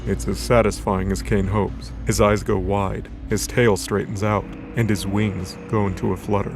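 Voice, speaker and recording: A man's voice: fairly low pitch, flat delivery, normal speed. A man reading scripted narration for a light novel audio drama. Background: background music.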